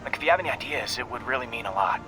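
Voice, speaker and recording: An actor playing an earnest, hesitant young American man in a video game, speaking in a halting, sincere way. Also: mid-range voice, expressive, over music, close to an everyday voice, low-quality recording. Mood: wistful, hesitant. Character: earnest, hesitant young man sharing his late mother's work. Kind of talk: naturalistic video game acting.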